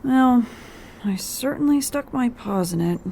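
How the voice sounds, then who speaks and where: A woman playing an earnest, self-doubting female cat doctor in an audio drama, with a halting, melodramatic delivery. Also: on the high side, expressive, vocal fry, noisy background. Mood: dejected.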